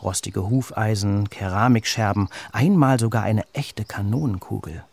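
A man reading a children's story as its narrator, with an expressive storytelling delivery. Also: lowish voice, normal speed, gravelly.

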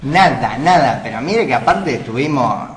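Man voicing a blunt, boastful Uruguayan soldier for a live radio sketch, mid-pitched, roomy studio sound. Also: expressive, moderate pace, close to an everyday voice. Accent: Uruguayan Spanish. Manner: swaggering, blunt, rambling. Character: boastful crude male soldier brigadista. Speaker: comic guest character.

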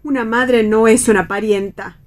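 A high-voiced older mother in a radio play acted by a woman, fussy and possessive. She pleads in a motherly way and takes offence easily. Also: steady tone, normal speed, close to an everyday voice. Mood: indignant. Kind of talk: read radio theatre.

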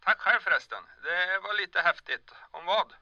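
An actor playing a shifty, hesitant doctor in an audio drama, gravelly and speaking in halting, clipped phrases. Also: male voice, high-pitched, lively intonation, dry recording, dull audio. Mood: hesitant, musing. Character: shifty, hesitant male doctor, underground contact.

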